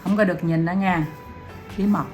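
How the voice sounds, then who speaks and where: A middle-aged woman, the host's mother, speaking in a brisk, teasing, motherly way. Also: fairly low pitch, steady tone, a little mellow. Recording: echoey room, mic far off, music behind.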